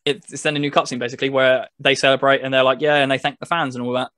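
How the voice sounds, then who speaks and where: Casting: male gaming review host, average pitch, dry recording, informal and meandering delivery, somewhat hesitant. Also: flat delivery, very fast, a bit bright, dry recording. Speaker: video host reviewing a football game. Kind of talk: casual chat.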